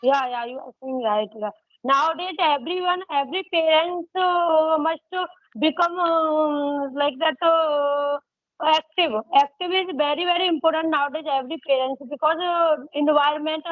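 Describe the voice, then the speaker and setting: Indian-accented woman, Hindi native, halting learner, high voice, dry phone audio. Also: no echo. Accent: Indian English. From India, from a village. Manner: halting, broken grammar, many fillers. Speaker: English learner, parent. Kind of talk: casual English conversation practice. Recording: dry tinny phone line.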